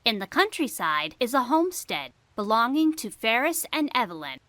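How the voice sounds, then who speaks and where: A woman's voice: on the high side, very animated, moderate pace, fairly bright tone, no echo. A woman reading scripted storybook narration for an audio drama.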